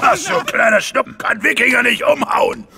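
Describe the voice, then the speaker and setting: An actor voicing a big, stubborn Viking chief and father who is sick and blustering, in an animated adventure audio drama. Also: very high-pitched, moderate pace, creaky, raised voice, over loud music. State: sick, coughing and sneezy.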